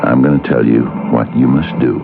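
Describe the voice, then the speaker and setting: An actor in an old radio drama playing a domineering husband who boasts of hypnotic power, with a deep, gravelly voice. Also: male voice, steady tone, a little fast. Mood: hypnotic, commanding. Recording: muffled old radio broadcast, music behind.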